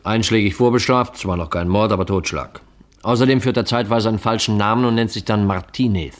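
A man's voice: on the low side, fairly quick, lightly acted. An actor playing a dutiful, matter-of-fact police assistant in a 1950s German radio crime drama, reporting methodically to his chief. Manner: methodical, reporting and reading out files.

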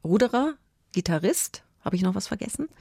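A woman's voice: mid-range voice, animated, a little fast, gravelly, warm tone, husky, no room sound. A woman hosting a conversational radio interview, speaking into a close microphone in a studio.

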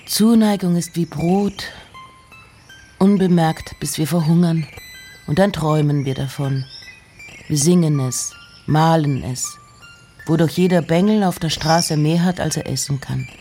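A woman giving a literary reading of poems and letters with measured, deliberate phrasing. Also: sits low, dark tone, soft background music.

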